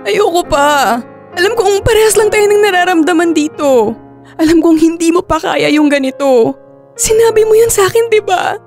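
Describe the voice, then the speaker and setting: An actress playing an anxious young working woman in a Filipino radio drama, with an expressive, conversational delivery. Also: extremely high pitch, normal speed, soft background music. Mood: distressed, panicked.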